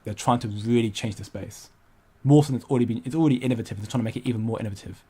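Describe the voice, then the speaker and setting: A young man chats loosely on a crypto livestream, reading out viewer comments as he goes. His voice sits at an ordinary middle pitch. He rambles, stumbles and restarts his sentences often. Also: rapid-fire, dark tone, husky. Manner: rambling, hesitant, many restarts, laughs often.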